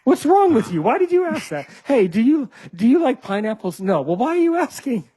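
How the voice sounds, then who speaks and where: A man's voice: very high voice, very animated, brisk, warm tone, breathy edge. A man hosting a podcast interview over a video call, joking and teasing his guest, with lots of restarts and "you know". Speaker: podcast host.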